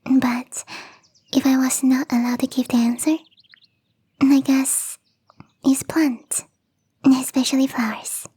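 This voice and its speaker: A woman voicing a gentle young catgirl gardener in an intimate ASMR roleplay, speaking in a soft, breathy, whispery voice straight to the listener. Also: high voice, little pitch movement, slow, long pauses, exaggerated voice. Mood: shy, confiding. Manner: gently teasing, confiding, addressing the listener directly.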